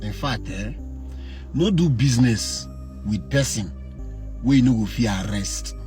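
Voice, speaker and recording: A man's voice: medium pitch, animated, unhurried, darkish tone, soft background music. A man giving direct business advice in a short clip. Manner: advising, direct.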